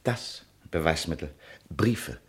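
Husky, slick, shady lawyer. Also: male voice, a bass voice, expressive, slow, full character voice. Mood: persuasive. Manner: formal, smooth-talking, circumspect. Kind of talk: German radio play acting.

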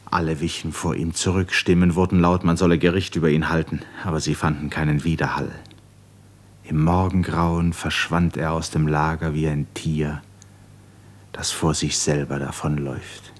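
A man narrating a literary radio drama in a grave, measured manner, with short, deliberate phrases. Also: rumbling low, level tone.

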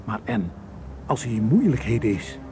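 An actor playing a careful, level-headed Egyptian tour guide in a radio drama, measured and reassuring. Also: male voice, medium pitch, big pitch swings, close to an everyday voice. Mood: concerned. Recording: noisy old radio play recording.

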